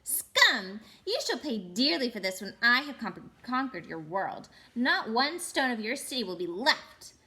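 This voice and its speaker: A woman voicing an imperious, wrathful witch queen in a storybook, commanding and grandiose, with a clear ringing voice. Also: on the high side, very animated, measured pace, few pauses, pushed and bright, exaggerated voice. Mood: exultant menace.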